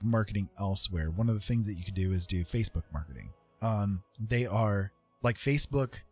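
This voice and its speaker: A man's voice: on the low side, husky. A man giving casual advice to viewers as a livestream host, talking in an informal, rambling way and often checking in with the listener.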